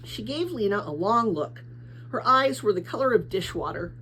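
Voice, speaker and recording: A woman reading a children's novel aloud as an expressive storybook narrator. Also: mid-range voice, normal speed, slight background noise, rough recording. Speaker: storybook reader.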